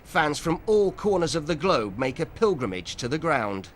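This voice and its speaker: A man narrating a documentary in a measured, even scripted delivery. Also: on the high side, loud music behind the voice.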